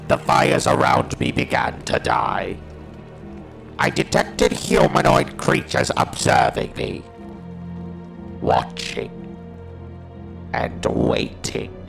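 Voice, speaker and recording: A proud, wounded alien war machine narrates its audio drama through harsh robotic modulation. The pitch swings wildly between low growl and piercing peaks, heavily creaky and slow, with music underneath. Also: male voice, wildly swooping pitch, exaggerated voice. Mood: grim, determined. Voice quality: harsh, grating, electronic. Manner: halting, staccato, declamatory.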